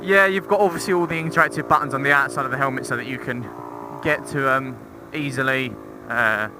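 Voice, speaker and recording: A man's voice: mid-range voice, fairly quick, over music. A young man talking casually and informally into a helmet intercom microphone while riding a motorcycle, rambling through a review with some swearing and self-mockery. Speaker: motorcycle vlogger. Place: outdoors, riding a motorcycle on roads. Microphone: intercom microphone inside a helmet. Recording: helmet intercom mic with loud engine noise. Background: motorcycle engine and road noise.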